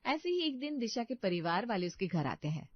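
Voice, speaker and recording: A woman's voice: fairly high pitch, sing-song, fairly quick, muffled recording. A woman narrating a scripted story as its storyteller.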